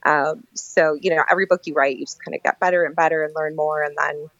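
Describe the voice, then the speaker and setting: Woman with level pitch, dull audio. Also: steady tone, quick pace, a bit bright, dry recording. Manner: chatty, informal. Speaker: guest author. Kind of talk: casual interview conversation.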